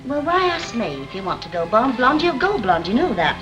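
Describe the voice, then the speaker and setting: Blunt, weary Lancashire mother, animated delivery. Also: a little high, a little fast, highs cut off, over music. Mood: wry, scolding. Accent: Northern English, Lancashire. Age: middle-aged. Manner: blunt, nagging, wry. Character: brassy, weary, sharp-tongued working-class mother. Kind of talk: 1960s British television soap acting. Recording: old 1960 television soundtrack.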